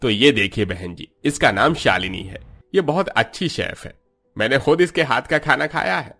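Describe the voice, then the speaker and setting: A man's voice: medium pitch, animated, moderate pace, a bit bright, pitched above the performer's own voice. A man voicing an eager, persuasive matchmaking priest in a Hindi cartoon story.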